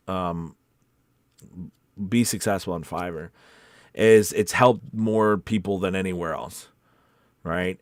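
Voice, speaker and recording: A man's voice: lowish voice, no echo, studio quality. A man hosting a casual livestream as a voice-over coach, talking in an informal, rambling and encouraging way. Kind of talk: casual livestream chat, reading viewer comments.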